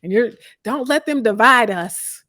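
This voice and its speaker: A woman hosting a live conversation, speaking in a thoughtful, deliberate and reflective way. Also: fairly high pitch, animated, pushed and bright. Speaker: host interviewing a guest. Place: live online video call.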